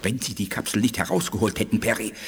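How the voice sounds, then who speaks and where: An actor playing a cautious, measured male alien scholar who warns others in a radio drama. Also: fairly low pitch, fairly even intonation, noisy background, lightly acted.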